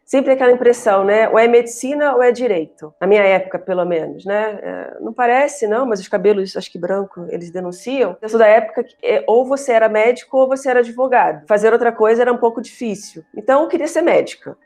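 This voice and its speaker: A middle-aged woman from Rio de Janeiro with a Carioca accent mixed with other regional accents, a professor telling her career story in a relaxed podcast interview, full of tag questions and wry asides. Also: fairly high pitch, fast talker, hardly any pauses. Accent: Carioca Brazilian Portuguese, mixed with other regional Brazilian accents. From Rio de Janeiro, Brazil. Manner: chatty, frequent tag questions, self-deprecating asides. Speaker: professor and researcher guest.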